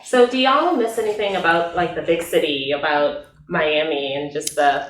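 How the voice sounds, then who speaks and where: A woman's voice: deep voice, normal speed, far from the mic. A woman co-moderating a casual chat, recorded away from the microphone in an echoey room with faint noise.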